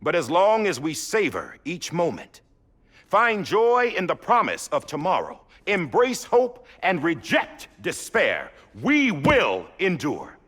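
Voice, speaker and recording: An actor playing a solemn statesman giving a heartfelt memorial address in a game cutscene, with measured, emphatic, husky oratory. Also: high voice, wildly swooping pitch, fairly bright tone, heavily acted. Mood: rousing. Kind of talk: formal public speech in a game cutscene.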